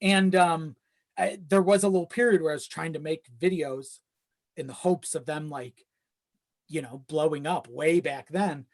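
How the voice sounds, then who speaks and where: A man's voice: a little high, moderate pace, darkish tone. A man chatting casually as a podcast guest, rambling along and acting out his own asides.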